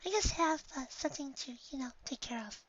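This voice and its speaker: Woman putting on a tiny, high, timid girl pony. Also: animated, brisk, no room sound, lo-fi sound. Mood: bashful. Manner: soft, hesitant, breathy. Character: shy, gentle, timid female pony.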